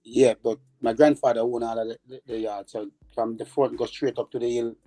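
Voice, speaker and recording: A Jamaican former footballer answering interview questions, halting and repeating words as he recalls his childhood. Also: mid-range voice, level tone, warm tone.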